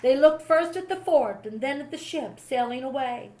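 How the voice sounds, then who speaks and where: A woman with a fairly high voice reads a historical children's story aloud. She narrates expressively, as a storybook reader does for young listeners. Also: moderate pace, darkish tone.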